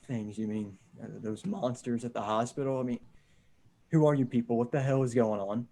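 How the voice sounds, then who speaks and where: A man playing a panicked, bewildered survivor of a zombie apocalypse in a dramatic table read, with a husky voice. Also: mid-range voice, normal speed, warm tone, lightly acted. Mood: dazed, confused.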